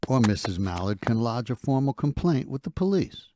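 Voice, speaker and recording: An actor playing a menacing, controlled security officer in an audio drama, commanding and quietly threatening. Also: male voice, medium pitch, sing-song, normal speed, close to an everyday voice. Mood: cold menace. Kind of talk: scripted audio drama acting.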